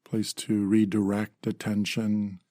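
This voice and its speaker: A man calmly leading a guided meditation in measured phrases with long pauses. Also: lowish voice, no room sound, clean studio sound.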